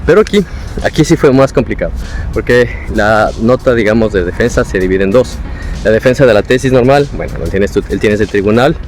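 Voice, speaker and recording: A man's voice: fairly low pitch, expressive, fairly quick, darkish tone, faint music behind. A young man with an Ecuadorian Spanish accent, talking casually and explanatorily as a vlogger giving advice from his own experience. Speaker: vlogger, former international student.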